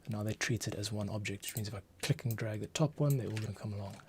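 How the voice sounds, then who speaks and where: A man with a fairly low voice hosts a casual screencast. He thinks aloud, muttering and pausing as he works. There is faint noise behind him, along with typing and clicking. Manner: muttering to himself, pausing while working. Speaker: composer, streamer host. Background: typing, mouse clicks.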